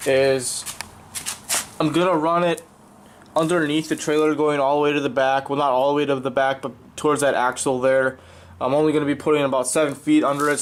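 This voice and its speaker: Congested young man with a cold. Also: medium pitch. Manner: casual, rambling, many fillers. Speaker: vlogger. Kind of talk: casual how-to vlog.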